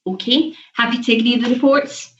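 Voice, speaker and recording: A woman's voice: a little high, steady tone, moderate pace. A woman chairing a formal committee meeting, moving business along and addressing those present. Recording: echoey, muffled video-call audio.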